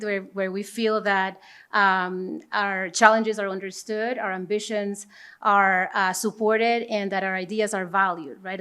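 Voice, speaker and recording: Woman from Panama speaking on a panel. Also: mid-range voice. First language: Spanish. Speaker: corporate executive, panel speaker. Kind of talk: conference panel talk before an audience.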